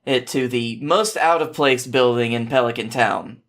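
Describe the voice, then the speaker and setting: Male podcast storyteller, mid-pitched, informal narration with occasional small stumbles. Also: moderate pace, a bit bright. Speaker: podcast host reading fan fiction.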